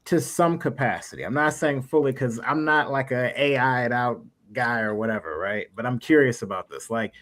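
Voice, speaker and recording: A man's voice: medium pitch, normal speed, hardly any pauses, fairly bright tone. A man hosting a casual interview, talking informally in roundabout phrases. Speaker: podcast host.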